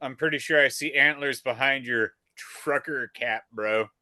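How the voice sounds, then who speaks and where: A man's voice: medium pitch, a little slow, bright, forceful tone, dry recording. A man co-hosting a casual podcast, blunt and teasing, swearing casually. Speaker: podcast co-host. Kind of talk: casual chat.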